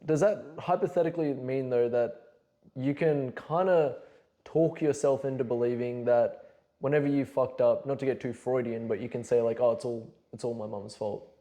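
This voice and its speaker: A man's voice: mid-range voice, steady tone, normal speed, warm tone. A man hosting a podcast interview, asking curious, probing questions in a casual way. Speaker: podcast host.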